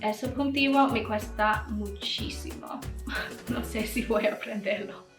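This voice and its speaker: A woman from England with an English accent, speaking her second language in a casual Q&A vlog, chatty and quick to laugh, with small learner slips. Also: on the high side, roomy sound, over music.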